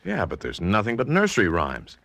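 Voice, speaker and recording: Puzzled heir, swooping inflection. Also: male voice, on the low side, wildly swooping pitch, brisk, creaky, a bit bright, no echo, full character voice. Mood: baffled. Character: puzzled, earnest male heir. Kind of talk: 1940s radio drama acting.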